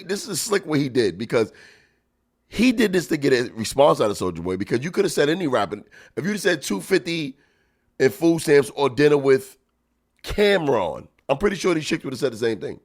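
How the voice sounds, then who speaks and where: Man, comedian host, stuffy nose, animated and slangy, lively pitch swings. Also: normal speed. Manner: animated, laughs loudly, chatty slang. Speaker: podcast host and stand-up comedian. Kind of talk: casual chat reacting to clips.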